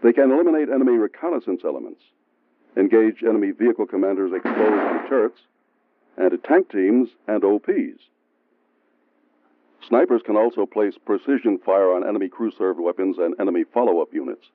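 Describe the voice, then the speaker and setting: A man giving steady, measured scripted narration for a military training film. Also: on the low side, slight background noise. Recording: tinny phone-like sound from an old training film, faint music.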